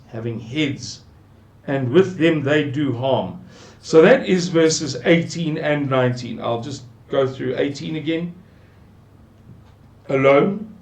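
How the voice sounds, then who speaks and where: An older South African man with a South African English accent, slowly musing through a Bible passage and repeating words for emphasis. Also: mid-range voice, darkish tone. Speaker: Bible teacher. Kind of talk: unhurried scripture commentary. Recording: echoey room.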